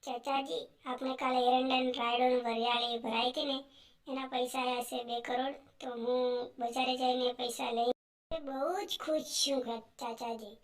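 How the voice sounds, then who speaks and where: An earnest young village farm boy, voiced by a woman, with a fairly high voice. He speaks as a devoted, humble lad in a cartoon story. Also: little pitch movement, normal speed. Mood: pleased.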